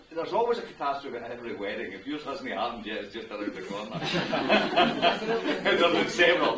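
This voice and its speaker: A middle-aged Scottish man officiating a wedding ceremony, chatty and joking with his guests. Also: on the low side. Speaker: wedding minister. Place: wedding venue indoors. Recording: roomy, distant mic with venue noise.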